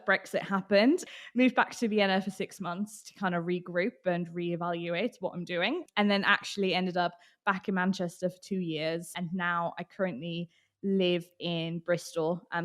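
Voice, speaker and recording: A woman's voice: fairly low pitch, steady tone, measured pace, a bit bright. British-accented woman chatting in an interview. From Austria. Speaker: podcast guest, marketing professional. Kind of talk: casual interview chat.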